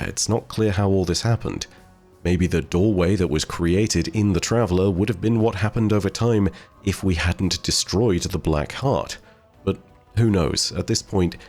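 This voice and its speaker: A man with a very low voice talks through theories like the host of a video essay. His delivery is conversational and speculative, often posing questions as he explains. Soft music plays underneath. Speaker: host of a game lore channel. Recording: voice over background music.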